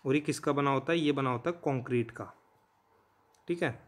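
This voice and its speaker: A man's voice: mid-range voice, moderate pace. An Indian man teaching in an informal, conversational way, explaining step by step and often checking in with listeners. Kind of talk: informal explanatory lesson in Hindi mixed with English terms.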